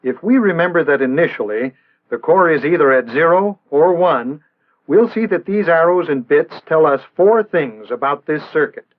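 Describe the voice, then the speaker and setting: An American man narrating a 1960s instructional film in a measured, didactic way with precise enunciation. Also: on the high side, animated. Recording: band-limited, tinny vintage film soundtrack.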